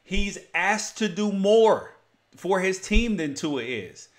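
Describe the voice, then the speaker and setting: An American man hosting a sports podcast, arguing his opinions emphatically in lively debate with a guest. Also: high-pitched, takes it slowly.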